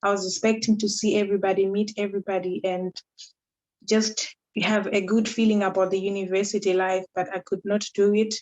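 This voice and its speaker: A young woman in her twenties speaking in a flat, even tone on a video call. Her audio sounds slightly muffled. She is from Zimbabwe. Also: mid-range voice, a little fast. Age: 20s. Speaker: student panelist. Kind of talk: casual chat.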